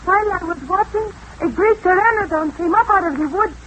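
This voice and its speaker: A headstrong young jungle girl, extremely high in pitch and impassioned. Her phrasing is formal and dramatic, like an otherworldly maiden in a radio adventure. Faint noise sits under the voice. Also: brisk, a bit bright. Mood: awed. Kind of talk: radio adventure serial acting. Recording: noisy old radio drama recording.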